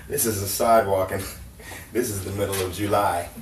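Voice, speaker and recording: A man's voice: low-pitched, fairly even intonation, normal speed, natural voice. A man acting a playful, dreamy ladies' man painter in a 1950s Hollywood-style audio drama, jaunty and bantering. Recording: roomy, distant mic, faint background noise.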